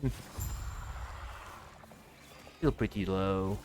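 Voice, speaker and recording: A man's voice: low-pitched, normal speed, a little mellow, a little hiss or hum. A man giving casual gameplay commentary, muttering his thoughts aloud in short fragments. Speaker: gamer narrating a playthrough. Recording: game music behind. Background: video game music.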